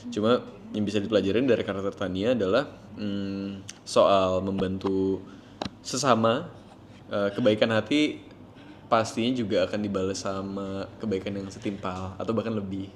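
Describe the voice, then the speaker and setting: A man's voice: fairly low pitch, fairly even intonation, normal speed. A man giving a casual interview as an actor, hesitantly searching for his words. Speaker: actor promoting a film. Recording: faint background noise and ambience.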